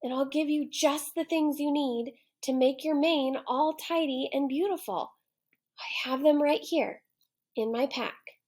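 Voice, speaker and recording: Little boy hero for a storybook, read by a woman: polite, clever, higher-pitched, measured pace. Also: darkish tone. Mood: persuasive. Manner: pleading, helpful, polite. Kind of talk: children's storybook character reading.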